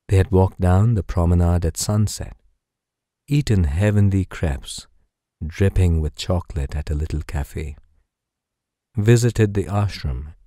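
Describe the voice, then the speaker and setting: Deep, very mellow man telling bedtime stories. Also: no room sound, studio quality. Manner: soothing, unhurried, gentle storytelling. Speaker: sleep meditation narrator. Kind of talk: guided bedtime story narration.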